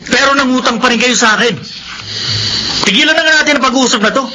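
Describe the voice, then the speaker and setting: A man's voice: very high voice, fast, noisy background. A Filipino actor playing a ruthless, bullying moneylender and family man in a radio drama, scornful and domineering. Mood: threatening.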